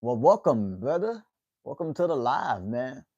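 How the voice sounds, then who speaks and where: A man's voice: medium pitch, very animated, unhurried, darkish tone, breathy edge, no room sound, highs cut off. A man in his twenties chatting casually with viewers on a livestream, in a laid-back way, tacking "man" onto the ends of his phrases. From United States. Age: 20s. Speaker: livestream host.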